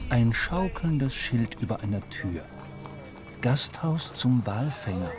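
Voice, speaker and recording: A man's voice: medium pitch, lively intonation, takes it slowly. A man playing a wry, brooding seafarer who narrates his own adventure in a literary radio drama. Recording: clear music behind the voice.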